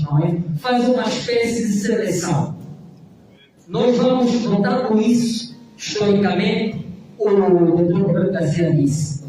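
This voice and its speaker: A man's voice: high-pitched, dark tone, slight background noise. A man, a civic activist arguing emphatically on a debate panel, often adding rhetorical tag questions. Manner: emphatic, rhetorical, frequent "não é?" tags. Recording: echoey room, distant mic.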